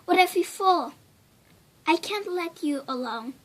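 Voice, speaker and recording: A woman's voice: extremely high pitch, lively intonation, a little slow, pitched above the performer's own voice. A woman playing a worried, protective king and father in a storybook, anxious and insistent.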